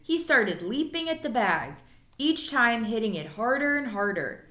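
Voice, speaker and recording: Female teacher reading a kids' chapter book. Expressive, higher-pitched, recorded in an echoey room. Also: unhurried. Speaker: elementary school teacher reading aloud. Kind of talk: storybook read-aloud narration.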